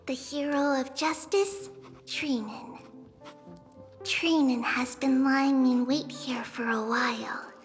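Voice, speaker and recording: Mischievous little girl for an anime game: tiny high voice, unhurried delivery, cutesy and theatrical. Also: pushed and bright, heavily acted. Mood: mock-heroic. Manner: cutesy, theatrical, refers to self by name. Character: playful, mischievous little girl who speaks in third person. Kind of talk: anime-style video game voice acting.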